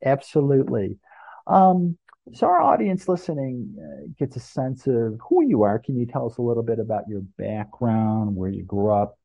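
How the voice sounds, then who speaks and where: A man's voice: medium pitch, lively intonation, moderate pace, creaky, no room sound, highs cut off. A man hosting an interview podcast, introducing a guest and asking questions.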